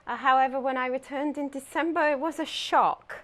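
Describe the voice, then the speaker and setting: A woman's voice: sits high, steady tone, brisk, fairly bright tone. A middle-aged woman from England with a southern English accent, chatting as a guest in a TV interview, giving short answers with a dry, self-mocking humor. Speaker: guest, ghost walk volunteer. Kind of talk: casual interview chat. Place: local TV studio.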